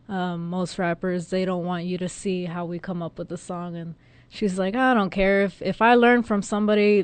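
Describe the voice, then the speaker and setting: A woman, co-hosting a casual podcast and chatting in a relaxed way. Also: fairly low pitch, smooth. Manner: relaxed, lots of affirming murmurs. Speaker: podcast co-host.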